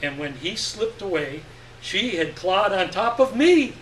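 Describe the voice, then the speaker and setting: A man giving an expressive, deliberate dramatic reading of literary fiction on stage. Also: sits high. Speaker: story reader. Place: live stage reading event. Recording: echoey room, distant mic, faint noise.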